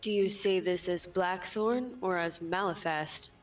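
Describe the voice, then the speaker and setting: A woman's voice: fairly low pitch, measured pace, natural voice. A woman playing a young, sceptical adventurer in a tabletop roleplay, speaking in a questioning, challenging way. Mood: probing, wary. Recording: distant mic under loud music.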